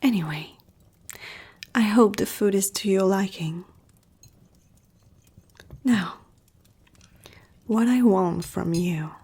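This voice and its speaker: A woman playing an ancient, powerful vampire aristocrat in an ASMR roleplay, poised, intimate and seductive, speaking in a breathy half-whisper. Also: medium pitch, slow, long pauses, mellow, heavily acted. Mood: measured, persuasive.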